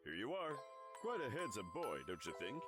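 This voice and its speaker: A man's voice: mid-range voice, huge pitch swings, moderate pace. A man voicing an easygoing, wry middle-aged father in a video game. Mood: calm. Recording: clear music under the voice.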